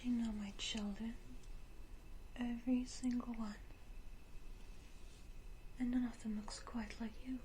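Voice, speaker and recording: A woman voicing an elegant, condescending vampire ruler, whispering with teasing, deliberate menace in an ASMR roleplay. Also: a little high, level tone, dark tone, slight background noise, lightly acted, rough recording. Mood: quietly menacing.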